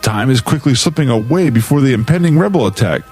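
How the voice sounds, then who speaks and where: A man's voice: mid-range voice, lively intonation, fast, darkish tone, slightly rough, faint music behind. A man reading scripted narration for a read-along adventure story.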